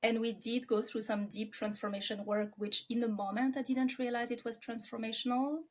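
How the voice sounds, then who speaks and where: A woman's voice: on the high side, steady tone, normal speed. A woman in her forties from France, with a French accent, talking reflectively about herself as an interview guest. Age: 40s.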